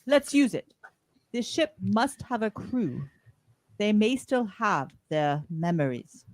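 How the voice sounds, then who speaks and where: A woman playing a measured starship captain taking charge in an amateur radio play. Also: mid-range voice, animated, no echo. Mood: deliberating.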